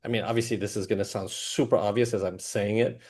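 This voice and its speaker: A man hosting a casual interview. He asks questions in a halting way, often restarting mid-sentence and filling with "like" and "you know.". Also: on the low side, steady tone, a little mellow. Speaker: host.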